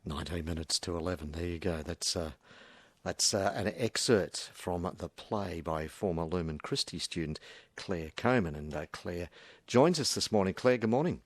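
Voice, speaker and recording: An Australian man hosting a casual live radio interview, asking halting questions with stammered, repeated words. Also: low voice, no echo.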